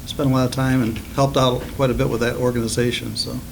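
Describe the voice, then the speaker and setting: A man on a council chairing a hiring interview, relaxed and joking. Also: mid-range voice, fast talker. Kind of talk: meeting chat. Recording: meeting recording with clear background noise.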